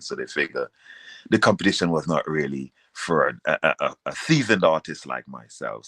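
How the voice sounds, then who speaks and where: A man's voice: very deep voice, pushed and bright, no echo. A man talking casually and conversationally in an interview, as a reggae singer telling his story. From Caribbean, outside Jamaica. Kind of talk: casual interview, recounting a career.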